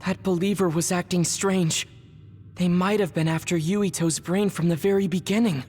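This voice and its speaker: A man playing a calm, analytical young tactician in a video game dub. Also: sits high, moderate pace. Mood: composed. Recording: prominent music behind the voice.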